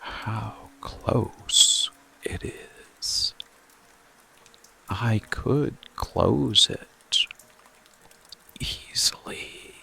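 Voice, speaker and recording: Man acting a slow, gravelly, whispering seductive monster. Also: heavily acted. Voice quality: breathy, whispery, gravelly low murmur. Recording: rain and music behind close voice. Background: soft music and rainfall.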